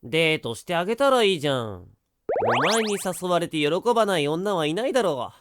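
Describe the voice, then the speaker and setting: Performer acting an excitable teenage boy, very lively pitch. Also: brisk, over loud music, natural voice. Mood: teasing. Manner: excitable, teasing, boyish. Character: hyperactive, cheeky, dance-loving teenage high-school boy. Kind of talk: Japanese anime-style voice drama acting.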